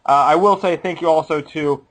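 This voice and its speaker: Late-20s guy on a podcast, kinda high voice, laughs a lot, jokey cynic. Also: a little fast, a bit bright. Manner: laughs often, playfully cynical. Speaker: podcast co-host. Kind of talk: casual chat.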